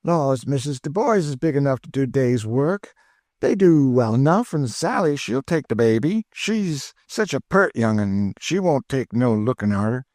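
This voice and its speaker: A man's voice: mid-range voice, very animated, normal speed, darkish tone, dry recording, studio quality, pitched above the performer's own voice, close to an everyday voice. A man voicing an eager, chatty older woman cook with an in a storybook reading. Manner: exclaiming, folksy, eager.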